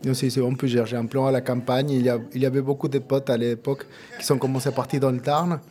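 A man's voice: medium pitch, little pitch movement, fast talker, warm tone. A man from Argentina with an Argentine Spanish accent, a native Spanish speaker, answering informally in a casual interview and often repeating words. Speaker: interviewee, musician and café co-founder.

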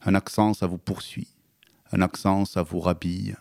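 Low-voiced man with a southwestern French accent. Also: little pitch movement, vocal fry, darkish tone, dry recording, studio quality. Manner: measured, hesitant in chat, rhythmic when reciting. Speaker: guest author. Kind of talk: radio interview and recited poem.

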